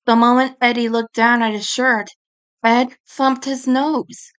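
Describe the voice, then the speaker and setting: A woman reading a fan fiction story aloud as dramatic, expressive narration. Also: on the high side, unhurried, clean tone. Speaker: fan fiction audiobook reader.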